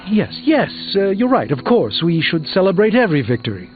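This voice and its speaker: An actor playing an earnest, formal superhero sorcerer burdened by regret in a video game, speaking in an articulate, measured way. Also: male voice, high voice, big pitch swings, narrow, tinny audio. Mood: conceding. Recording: band-limited game audio with background music.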